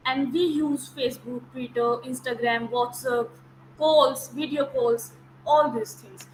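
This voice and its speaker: A woman's voice: high voice, steady tone, unhurried, slight background noise, low-quality recording. A woman, a Hindi native speaker, teaching an online class, explaining step by step, repeating key terms and checking whether students follow. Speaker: academic counsellor. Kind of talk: online lecture. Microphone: video call.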